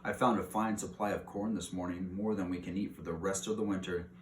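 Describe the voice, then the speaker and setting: A man voicing a caring, brisk mother mouse in a storybook reading. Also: low-pitched, steady tone, natural voice. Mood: gently worried. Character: motherly female mouse, cheerful then anxious and firm. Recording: roomy echo, clear background hiss.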